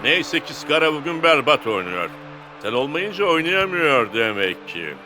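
A man's voice: on the high side, very animated, normal speed. A man playing a stern adult football coach in a cartoon dub, firm and admonishing. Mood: confiding. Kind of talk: animated cartoon dub acting. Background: music.

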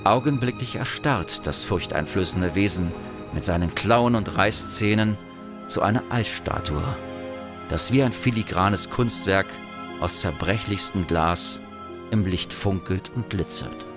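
Male narrator, dramatic scripted delivery, fairly low, over score. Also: measured pace, music in the background.

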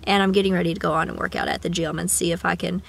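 A woman's voice: on the low side, level tone, rapid-fire, gravelly. A young woman with a cough, chatting casually to the camera from a parked car, rambling with sing-song asides. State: sick with a cough. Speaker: vlogger. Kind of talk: casual chat. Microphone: camera at arm's length.

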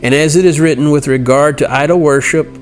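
A middle-aged man teaching from scripture in an earnest, halting way, reading passages and explaining them. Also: fairly high pitch, steady tone, faint music behind. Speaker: Bible teacher. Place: indoor room by a large window. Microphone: lapel mic.

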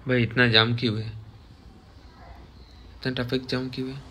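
A man with a fairly flat voice, the kind heard on a scooter vlog, repeating himself over faint traffic sounds. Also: mid-range voice, normal speed. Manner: repetitive, exclaiming at what he sees. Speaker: motovlogger. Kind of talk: casual running commentary while riding. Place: outdoors on a moving two-wheeler. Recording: faint road noise. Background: traffic and outdoor ambience.

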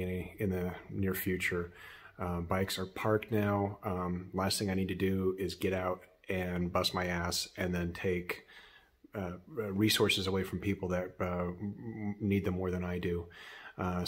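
A middle-aged American man talks casually to his phone in a garage. His voice is deep and keeps to a narrow, level range. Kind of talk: casual video update. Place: cluttered garage. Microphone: phone at arm's length.

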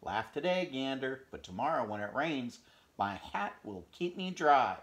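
A man voicing a small friendly hedgehog who stubbornly defends his hat, in a high, eager voice. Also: a little slow. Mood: earnest. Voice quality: high, excited and emphatic.

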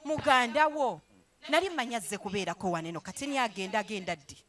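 A woman's voice: fairly high pitch, animated, fast talker. A woman playing a fed-up young wife. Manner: insistent, pleading, loud outbursts. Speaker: stage actor. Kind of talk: live stage comedy acting. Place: theatre stage with house set. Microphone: handheld mic.

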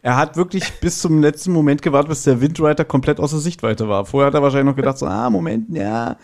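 A man's voice: a little high, expressive, fast, creaky, darkish tone. A man speaking as a podcast guest in casual, enthusiastic fan chat.

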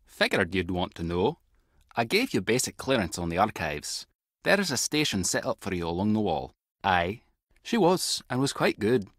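An actor playing a wry, clever, tech-savvy spy agent with a Scottish accent and a husky voice in an adventure game. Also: male voice, on the low side, very animated, normal speed, fairly bright tone, dry recording, clean studio sound. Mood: playful. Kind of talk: scripted adventure game dialogue.

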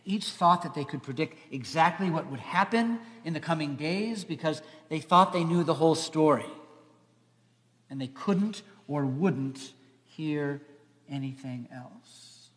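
A man's voice: on the high side, measured pace, fairly bright tone. A man in his fifties, a pastor preaching a dramatic storytelling sermon with emphatic phrasing. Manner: emphatic, swelling and trailing phrases. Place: large church sanctuary, seated at front. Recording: echoey church hall, long reverb.

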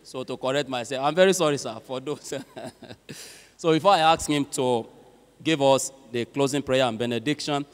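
A young Nigerian man with a Nigerian accent makes announcements at a church service in a large chapel, with many filler pauses, speaking into a handheld mic. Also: mid-range voice. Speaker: master of ceremonies. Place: large university chapel hall. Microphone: handheld mic at a lectern. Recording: long hall reverb with faint music.

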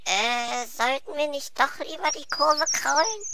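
A man's voice: very high-pitched, lively intonation, measured pace, vocal fry, heavy background noise, voice pushed up, heavily acted. A man voicing a small, silly, cowardly goblin who babbles nervously in a German storybook tale. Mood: timid.

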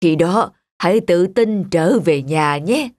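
A woman voicing a wise, kindly old male fairy with slow, measured speech in a cartoon fairy tale. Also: fairly low pitch, lively intonation, darkish tone, voice pushed down. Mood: wise, instructing. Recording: music under the voice.

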